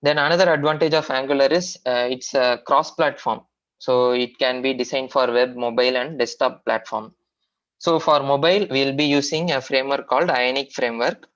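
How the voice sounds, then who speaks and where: A man with an Indian accent teaches a technical class in a medium-pitched voice. He explains informally, often hesitating and repeating himself as he goes. The audio is dull and low in fidelity, like an online training recording. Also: a bit bright. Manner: halting, repetitive explaining with frequent hesitations. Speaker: software trainer.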